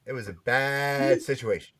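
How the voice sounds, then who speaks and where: A man hosting a podcast with excitable, joking banter, rambling and repeating phrases for effect. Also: medium pitch, normal speed, a crowd in the background. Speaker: podcast host.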